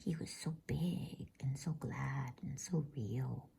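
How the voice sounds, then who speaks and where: Breathy whispering woman, ASMR bedtime reader. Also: very deep voice. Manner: soft, unhurried, intimate whispering. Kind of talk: whispered ASMR storybook narration.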